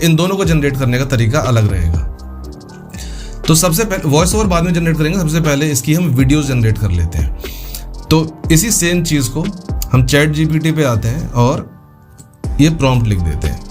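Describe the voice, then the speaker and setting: Man, casual tutorial host. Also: mid-range voice. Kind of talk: casual step-by-step screen tutorial. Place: voice-over for a computer screen recording.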